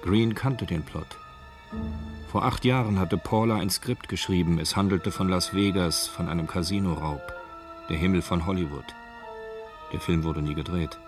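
A man's voice: low-pitched, normal speed, over music, close to an everyday voice. A man narrating a crime story in a scripted radio drama. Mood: measured storytelling.

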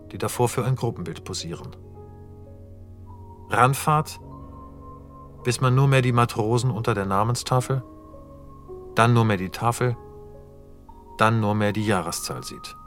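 A man reads the stage directions of a radio play in a calm, measured manner. His pitch is mid-range, and soft music plays faintly behind him. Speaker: narrator. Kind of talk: scripted narration of stage directions.

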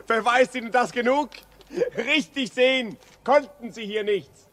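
An actor playing a taunting, overexcited journalist in theatrical 1950s radio drama style. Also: male voice, very high voice, fairly bright tone, exaggerated voice. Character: mocking, overexcited male journalist sneering at ghosts.

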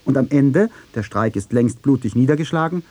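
Mellow, slightly husky male feature narrator. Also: mid-range voice, animated, a little fast, no echo. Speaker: radio feature commentator. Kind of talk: scripted narration.

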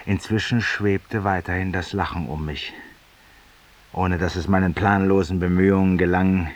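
An actor playing a cynical, self-accusing former lawyer in a 1950s German radio drama monologue, theatrical and ironic, husky, confiding in a listener. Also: male voice, deep voice, fairly even intonation, moderate pace, natural voice. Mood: rueful, confiding. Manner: theatrical, ironic, confiding, dropping to near whispers. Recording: muffled, roomy 1950s radio recording.

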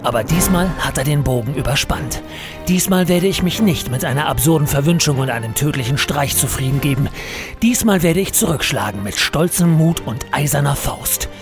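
A man's voice: fairly high pitch, animated, moderate pace, over music. A man playing a pompous, bellicose office adventurer in a comedic radio play, bombastic and mock-heroic. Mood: vengeful, grand.

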